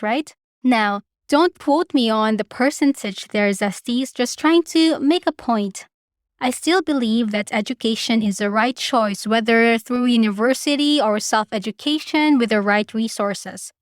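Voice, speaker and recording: Female podcast host, higher-pitched voice, informal and opinionated commentary addressed to listeners, very dry recording. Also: dry recording. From Philippines.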